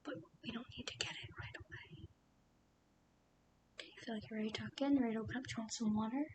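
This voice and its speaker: A woman voicing a calm, patient female police detective, whispering softly and asking careful, soothing questions in an ASMR roleplay. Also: very fast, lightly acted. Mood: probing. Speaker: interrogator. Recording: steady low rumbling noise.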